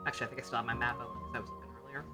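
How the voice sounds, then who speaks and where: A man's voice: medium pitch, little pitch movement, racing. A man giving casual, joking, rambling live commentary as a game streamer. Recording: clear game music behind the voice.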